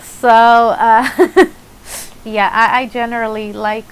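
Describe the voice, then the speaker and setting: A woman's voice: fairly high pitch, steady tone, slow pace, a little hiss or hum, rough recording. A young woman with an Israeli accent, talking to camera in a casual vlog, full of hesitations and restarts. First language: Russian. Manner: hesitant, many fillers and restarts, light laughter. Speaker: vlogger.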